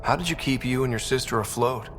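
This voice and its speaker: An actor playing a young explorer and team leader in a video game, husky, easygoing and curious. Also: male voice, mid-range voice, fast talker, long reverb tail, over music, natural voice. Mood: curious, gentle. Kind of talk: video game character acting.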